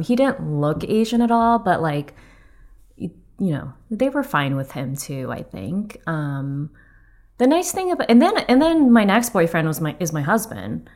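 Young woman, very low voice, casual podcast chat, full of "like" and "um" fillers, hesitant. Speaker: guest.

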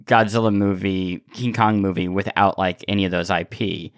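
A man's voice: low voice, flat delivery, normal speed. Casual man chatting on a podcast. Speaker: podcast host. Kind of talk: casual chat.

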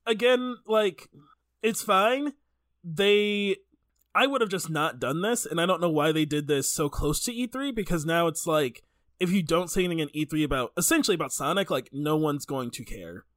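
A man's voice: a little high, lively intonation, moderate pace, a bit bright, no room sound, studio quality. A man co-hosting a podcast, talking casually about video games in a rambling, stop-start way. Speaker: podcast co-host.

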